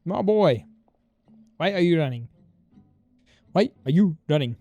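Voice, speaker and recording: A woman with a very deep voice reacts casually to a video game. She is a slangy, informal streamer, with faint game music behind her. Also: lively intonation, measured pace, warm tone, dry recording. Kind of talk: casual gameplay reaction commentary.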